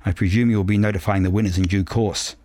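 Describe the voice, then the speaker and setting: A man's voice: on the low side, steady tone, quick pace, darkish tone, no room sound, natural voice. Man playing a French woman in her thirties, academic, direct, forward, audiobook character, dry sound. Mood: blunt, forward.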